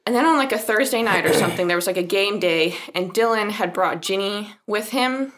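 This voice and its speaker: A woman's voice: medium pitch, a little fast, fairly bright tone. Young woman from South Africa, American accent, chatty storyteller guest; laughs easily. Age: young adult. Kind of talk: casual podcast chat.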